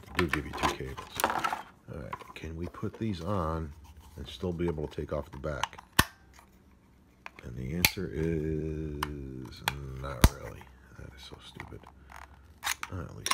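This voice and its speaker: A man's voice: rumbling low, gravelly. A man giving casual off-the-cuff unboxing commentary in a grumbling, sarcastic way, recorded on a handheld phone. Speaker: unboxing vlogger. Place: indoors at a wooden desk. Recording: noisy handheld recording with handling clicks.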